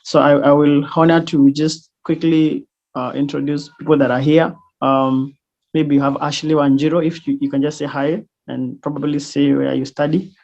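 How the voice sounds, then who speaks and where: A man's voice: on the high side, level tone, normal speed, creaky, a little mellow. A man, hesitantly introducing people in an online meeting. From Kenya. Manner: hesitant, many fillers and restarts. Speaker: student organizer. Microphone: video call. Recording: dull lo-fi video call audio.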